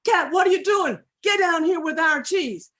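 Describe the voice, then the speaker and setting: Woman playing husky, animated storybook dog. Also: fairly high pitch, normal speed. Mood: outraged. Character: male dog, eager, fair-minded, easily outraged friend. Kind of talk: oral storytelling, animal folktale.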